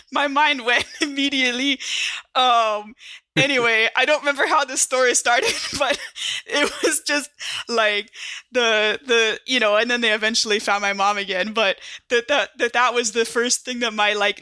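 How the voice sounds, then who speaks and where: A woman's voice: a little high, pushed and bright, smooth, no room sound. A young woman playing a chatty, teasing young Marine medic in improvised tabletop roleplay, rambling in a playful, slangy way.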